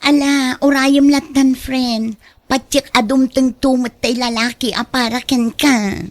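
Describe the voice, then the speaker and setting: A woman's voice: sits high, fairly even intonation, a little slow, dry recording. A woman with a Filipino Ilocano accent playing a practical, cautioning married woman in a radio drama. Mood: friendly.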